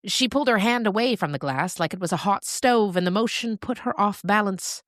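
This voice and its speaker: A woman narrating scripted fiction as a storyteller, with expressive, deliberate phrasing and dramatic pauses. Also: medium pitch, no echo, clean studio sound.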